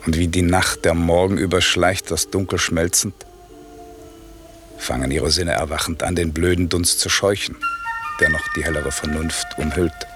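An actor playing a stern, wronged sorcerer lord turning to forgiveness, reciting classical radio drama verse in a solemn, measured, declamatory way. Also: male voice, sits low, steady tone, music in the background, natural voice. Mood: solemn, commanding.

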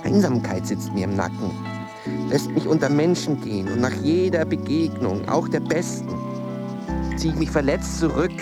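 An actress playing a melancholy, self-loathing loner in a literary radio drama monologue, halting and introspective. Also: female voice, deep voice. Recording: loud music over the voice.

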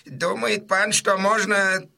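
A nervy scheming innkeeper in a radio play, high sharp voice, drags his words, kinda wailing and pleading. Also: level tone, creaky, exaggerated voice. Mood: agitated, protesting. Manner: wailing exclamations, drawn-out words, fussy hesitations. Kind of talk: full-cast audiobook dramatisation.

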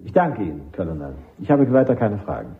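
A methodical, courteous army prosecutor in a radio drama. He is formal, with a lively melody, heard on a thin phone-like recording. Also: fairly low pitch, brisk. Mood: polite, methodical. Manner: polite, formal. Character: courteous, methodical military prosecutor examining a witness.

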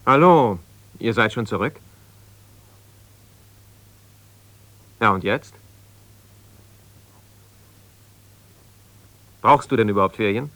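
A strained husband in a naturalistic seventies radio play, with a lowish, sharp-edged voice in a dry, close room. He placates and evades, repeating himself haltingly as his patience wears thin. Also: dry recording. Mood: irritated, sardonic. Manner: halting, repeats himself, reassuring yet exasperated. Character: ambitious lawyer husband, evasive, placating, strained, newly separated. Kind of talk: naturalistic 1970s German radio drama, one-sided phone calls.

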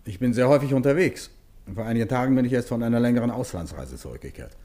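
A cultured, nervy gentleman, acted by a man in a radio crime play. His mid-pitched voice swings widely, formal and precise yet high-strung, in a roomy, echoing space. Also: lively intonation, quick pace, close to an everyday voice. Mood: formal, defensive. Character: cultured, nervy male music publisher under questioning. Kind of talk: German radio crime drama acting. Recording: roomy echo.

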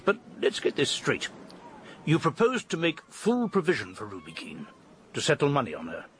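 A middle-aged English colonel of police in a radio mystery. He is formal and gruff, with clipped, methodical questions and little hesitations. His pitch moves widely, over faint recording noise. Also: huge pitch swings, normal speed. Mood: probing, sceptical. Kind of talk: radio drama acting.

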